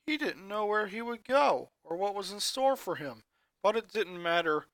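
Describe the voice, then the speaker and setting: A reader narrating a fanfiction story aloud in steady, earnest storytelling. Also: medium pitch, moderate pace, no echo.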